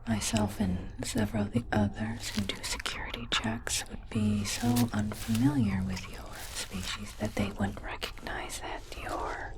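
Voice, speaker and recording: A woman playing a calm, methodical alien medical technician in a hushed, deliberate ASMR roleplay, reassuring the listener. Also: mid-range voice, poor audio. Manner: slow, deliberate, hushed delivery.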